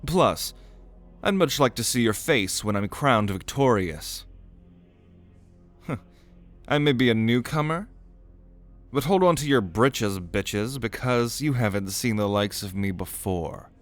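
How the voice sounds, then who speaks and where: A man's voice: mid-range voice, lively intonation, slow, pauses often, soft background music. A man playing a cocky, sardonic young prince at a mage academy in an audio roleplay, with a swaggering, theatrical manner. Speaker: ASMR roleplay voice actor.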